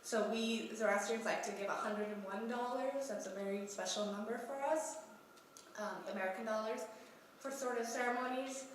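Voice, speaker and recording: A young woman with a cold gives a talk at a conference, pausing on frequent ums and clearing her throat. She is heard from far off in a very echoey hall, with a faint hum of the room behind her. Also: fairly high pitch. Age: young adult. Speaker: conference presenter. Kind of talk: research presentation at a youth congress.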